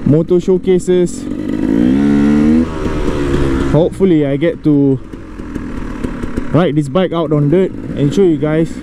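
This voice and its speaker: High-pitched man vlogging over loud engine noise. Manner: halting, phrases broken by pauses. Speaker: motovlogger and rider. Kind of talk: casual vlog commentary while riding. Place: outdoors, riding a motorcycle on roads. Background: motorcycle engine, traffic.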